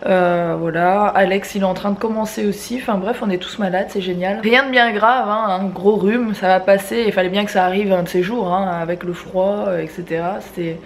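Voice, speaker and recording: A woman's voice: medium pitch, fairly even intonation, a little fast, hardly any pauses, a little hiss or hum. A young woman with a stuffy, congested head cold, chatting casually to camera in a home vlog, with many fillers. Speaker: family vlogger. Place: at home, indoors.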